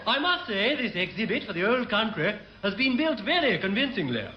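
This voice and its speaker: Very high-voiced, pompous genial padre. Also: male voice, big pitch swings, brisk. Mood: jovial. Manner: flowery, hesitant, chuckling, genteel. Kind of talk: classic British radio sitcom acting. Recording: noisy, dull old radio recording.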